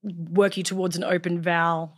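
A woman's voice: lowish voice, little pitch movement, moderate pace. A young Australian woman with an Australian accent, a trained actor chatting casually and laughing often. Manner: laughs often, grounded and reflective. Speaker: actor and podcast co-host. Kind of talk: casual chat.

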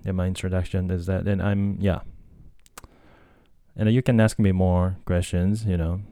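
Low-voiced Japanese man, casual ramble. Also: flat delivery, normal speed, warm tone, clear, resonant tone, no echo, studio quality. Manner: rambling, hesitant, many fillers and restarts. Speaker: podcast host and language tutor. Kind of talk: casual solo talk.

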